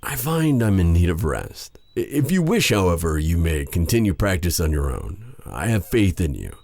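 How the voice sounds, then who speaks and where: A man playing a brooding, remorseful dark sorcerer in an intimate fantasy ASMR roleplay, speaking formally and haltingly to the listener in a husky, breathy voice. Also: low-pitched, very animated, heavily acted. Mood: tender, hesitant. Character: brooding, remorseful dark sorcerer, bitter yet tender captor.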